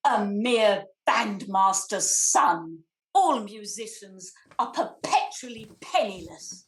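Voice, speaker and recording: A woman's voice: mid-range voice, animated, slow pace. An English woman acting an imperious, snobbish Victorian society mother in a radio play. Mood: offended, scornful. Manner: haughty, grandly theatrical.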